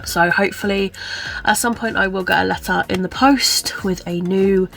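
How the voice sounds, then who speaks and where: Young woman vlogging, bit of vocal fry, stuffed up from a cold, rambly with lots of "like", soft music under her. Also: medium pitch, fairly even intonation, moderate pace, poor audio. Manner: chatty, many fillers like "kind of, like". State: congested, with a chesty cough. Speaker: book vlogger. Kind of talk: casual chat to camera.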